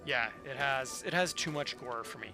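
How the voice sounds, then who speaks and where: A man with an average-pitched voice streams a video game and talks as he plays. He rambles in casual slang, with game music clearly audible behind him. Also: normal speed. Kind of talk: casual chat while playing.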